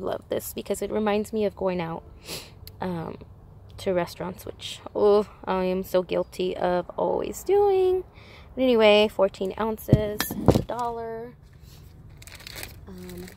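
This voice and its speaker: A woman with a congested, slightly nasal voice, chatting casually through a product haul with rambling asides and self-corrections. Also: mid-range voice. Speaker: haul vlogger. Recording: noisy background.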